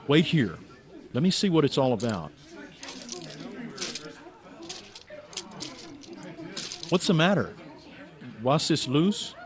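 Man playing an animated, wry amateur sleuth. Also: a little high, moderate pace, crowd noise. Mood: alarmed. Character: naive, stubborn, wry male amateur sleuth. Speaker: actor in radio drama. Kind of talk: radio drama acting.

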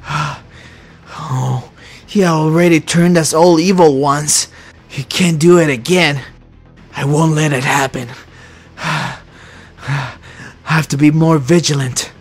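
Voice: medium pitch, steady tone, takes it slowly, long pauses, breathy edge. A performer voicing an anxious, paranoid young male superhero leader in a Minecraft roleplay, worried and hesitant. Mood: brooding.

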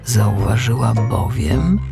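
A man narrating a children's audiobook in an expressive, scripted storytelling style. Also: mid-range voice, slow, creaky, music in the background.

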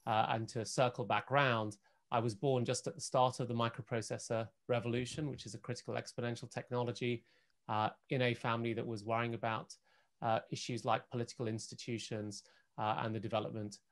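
A man in his forties speaking as a panelist on a video call, measured and articulate with frequent fillers. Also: medium pitch, level tone. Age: 40s. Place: indoor home room. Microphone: video call webcam.

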